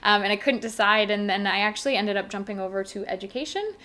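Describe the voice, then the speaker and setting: A young Canadian woman with a Canadian accent, chatting as a podcast guest, bubbly and quick to laugh. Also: medium pitch, pushed and bright. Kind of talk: casual interview chat.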